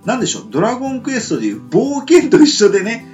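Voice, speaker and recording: A man's voice: very high-pitched, wildly swooping pitch, quick pace, darkish tone, dull audio, faint music behind. A man with a Kansai accent co-hosting a casual radio chat, rambling with many hesitant fillers. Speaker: podcast co-host.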